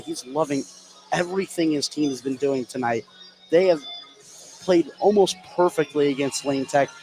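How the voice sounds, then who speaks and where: A man's voice: a little high, low-quality recording. A man giving live analysis as the color commentator on a basketball radio broadcast.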